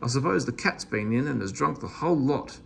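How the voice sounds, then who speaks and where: A man voicing a muddled, easily astonished storybook gentleman with an exclamatory, demanding manner. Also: medium pitch, animated, brisk, gravelly, slight background noise. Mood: grumbling.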